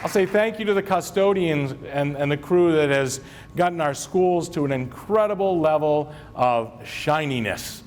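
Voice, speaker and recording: A man's voice: a little high, normal speed, darkish tone, a little hiss or hum. A man from Maine, a school superintendent, giving a chatty, joking convocation speech to his staff in a large hall. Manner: chatty, self-deprecating, joking with the audience. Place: large hall with a live audience.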